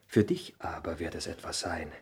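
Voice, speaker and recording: A very husky, impassioned young son. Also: male voice, deep voice, moderate pace, darkish tone, full character voice. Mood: urgent resolve. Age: young adult. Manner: urgent, theatrical, dropping to breathy pleading. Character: earnest, headstrong young son, passionate and pleading. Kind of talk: 1960s German radio drama acting.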